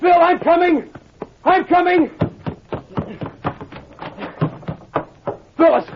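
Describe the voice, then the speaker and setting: An actor playing an earnest, excitable young American man with a husky voice in an old-time radio drama. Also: very high voice, moderate pace, background noise. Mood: panicked. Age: young adult. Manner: earnest, intense. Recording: muffled old radio recording.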